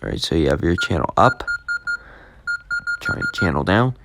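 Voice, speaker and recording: A man giving a casual, unscripted tech demo in a halting, repetitive way that tends to trail off. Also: sits low, huge pitch swings, loud music behind the voice. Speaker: video host demonstrating a walkie-talkie app.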